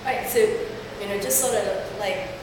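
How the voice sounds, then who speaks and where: A woman's voice: medium pitch, unhurried. A woman from Hong Kong giving a conference talk in a chatty way, with many fillers and restarts. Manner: conversational, filler-heavy presenting. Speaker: conference speaker. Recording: echoey hall from far off, with noise.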